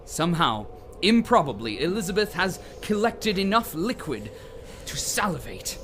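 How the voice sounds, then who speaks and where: A man narrating an audio drama as a deliberate storyteller who relishes lurid detail. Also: sits high, sing-song, noisy background. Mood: ominous relish.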